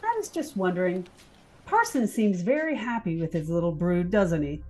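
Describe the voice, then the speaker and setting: A woman's voice: on the low side, sing-song, normal speed, a little mellow, rough recording. A woman playing a sly, inquisitive office colleague in an audio drama, arch and probing. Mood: curious.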